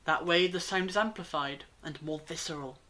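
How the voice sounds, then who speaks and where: A woman playing a brainy time-travelling alien in a fan audio drama, lecturing gravely in deliberate monologues with dramatic pauses. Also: fairly low pitch, fairly bright tone, lightly acted. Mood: urgent, grave.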